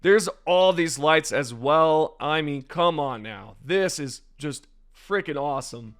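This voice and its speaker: A young man hosting a gaming news video, chatty and enthusiastic, his voice slightly raspy today. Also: fairly high pitch, animated, slow, fairly bright tone. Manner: enthusiastic, chatty, addresses viewers directly. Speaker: gaming channel host. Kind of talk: casual gaming news commentary over gameplay.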